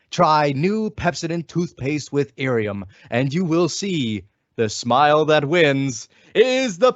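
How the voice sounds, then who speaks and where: A man's voice: a little high, no room sound. A man reading a scripted old-time radio commercial as announcer, with a polished sales delivery. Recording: dull lo-fi radio-style recording.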